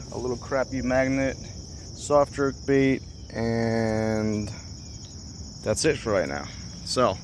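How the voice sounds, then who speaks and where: A man narrating his fishing trip from a small boat on a lake, chatty and excited, talking straight to the camera. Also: mid-range voice. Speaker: fishing vlogger. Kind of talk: casual narration while fishing. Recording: faint outdoor noise.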